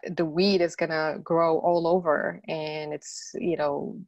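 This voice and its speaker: A woman's voice: sits low, level tone, slow, a little mellow, no echo. A middle-aged woman from Morocco, an interview guest telling her story earnestly, with many "you know" fillers.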